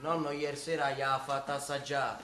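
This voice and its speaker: An actor playing a lazy, sheepish, hungry young Roman son in a dialect radio drama, whining and offhand, with a Roman accent. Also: male voice, medium pitch, level tone, a little fast, close to an everyday voice. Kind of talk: stage-style dialect radio drama acting. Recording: roomy, faintly noisy stage recording.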